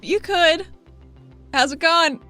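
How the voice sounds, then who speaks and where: A woman's voice: very high voice, slow, pushed and bright, soft background music, pitched above the performer's own voice, full character voice. A woman voicing a casual, breezy, slightly awkward female investigator in a tabletop game. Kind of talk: tabletop roleplay character voice.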